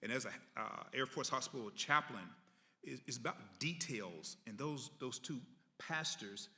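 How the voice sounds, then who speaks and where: A man's voice: medium pitch, normal speed, bright, forceful tone. A man, a chaplain, giving an emphatic, enthusiastic unscripted comment at a live event in a large hall. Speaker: hospital chaplain, discussion participant. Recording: echoey hall, long reverb.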